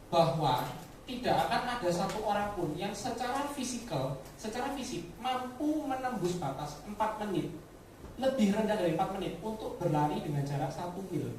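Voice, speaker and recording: A woman's voice: on the low side, expressive, normal speed, a little mellow. A woman giving a motivational business talk to colleagues, often addressing listeners directly with rhetorical questions and dropping in English words. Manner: mixes English words into speech. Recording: very echoey room, distant mic, faint noise.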